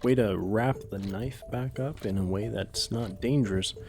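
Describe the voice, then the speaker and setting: A man's voice: fairly low pitch, normal speed, vocal fry, a little mellow, soft background music. A man speaking in casual table talk as a player in a tabletop role-playing game.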